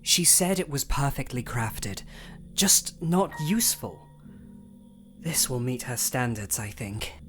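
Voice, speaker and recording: A man's voice: mid-range voice, expressive, slow pace, music in the background. A man playing a stubborn young pony glassblower, earnest and defensive, in an audio story. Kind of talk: audio story character dialogue.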